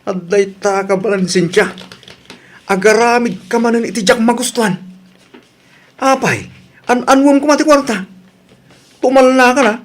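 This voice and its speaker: A hot-tempered, domineering husband in an Ilocano radio drama. He speaks with an Ilocano accent and a gruff, bossy manner. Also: very high voice, moderate pace, long pauses, slight background noise. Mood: angry, threatening.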